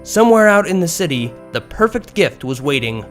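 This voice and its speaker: A man reading a story aloud as an expressive narrator, in scripted prose. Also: a little high, fairly quick. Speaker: narrator of a fan fiction story. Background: background music.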